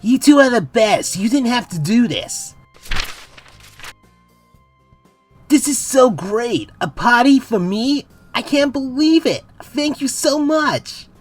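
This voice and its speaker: A woman's voice: a little high, unhurried, few pauses, soft background music. A woman voicing an earnest, caring young male space captain with an emphatic boyish manner, in a fan comic dub. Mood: delighted. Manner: emphatic, boyish, swinging from hushed to excited.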